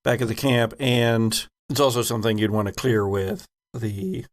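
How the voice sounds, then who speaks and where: A man's voice: mid-range voice, normal speed. A man narrating a tabletop game as game master.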